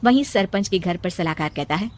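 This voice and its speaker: A woman narrating a storybook cartoon in a steady storytelling manner. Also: lowish voice, a little fast, no room sound.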